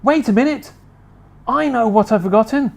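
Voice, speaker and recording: A reader voicing George, a calm and earnest boy in a storybook. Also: medium pitch, moderate pace, creaky, pitched above the performer's own voice.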